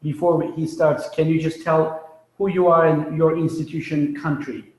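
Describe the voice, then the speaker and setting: A man's voice: fairly high pitch, flat delivery, moderate pace, a little mellow. A man introducing a guest lecturer to a classroom, talking loosely and correcting himself as he goes. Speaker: session organizer introducing speaker. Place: lecture room with tiered seats. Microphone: far room mic. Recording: echoey room from far off.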